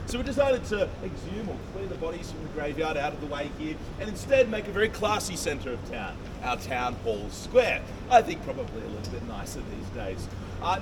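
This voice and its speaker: A man guiding a walking tour outdoors in a city square, with quick, jokey, upbeat patter. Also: on the high side. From Australia. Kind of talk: outdoor guided tour talk. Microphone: action camera. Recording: distant voice over loud street noise.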